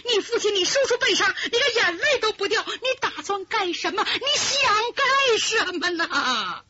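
A woman's voice: mid-range voice, big pitch swings, fast, sharp tone, slight background noise, voice pushed down. A woman voicing a grieving young noblewoman who wails and pleads through tears, on a lo-fi recording. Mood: anguished. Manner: wailing, pleading.

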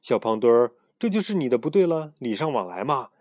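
A loud, nosy bird, as a man puts him on, talking high and eager. He wheedles and exclaims in lively swings of pitch. The sound is close and dry, like a storybook reading. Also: brisk, dry recording, close to an everyday voice. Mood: coaxing.

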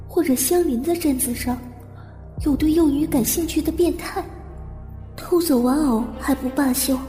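Haunted young woman, high and echoing. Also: dark tone. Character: haunted, anxious young woman recalling childhood trauma. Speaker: voice actor and narrator. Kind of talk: first-person radio drama narration and dialogue. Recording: reverberant echo with music underneath. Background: music.

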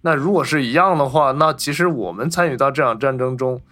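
A man's voice: medium pitch, fairly quick, fairly bright tone. A man co-hosting a casual film discussion, explaining and restating his points as he goes. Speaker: podcast co-host.

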